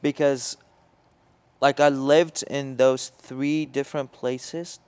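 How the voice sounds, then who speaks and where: A young man from Syria whose first language is Arabic, co-hosting a casual podcast chat in a halting way, full of "like" and restarts. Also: medium pitch, little pitch movement, darkish tone, smooth. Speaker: podcast co-host.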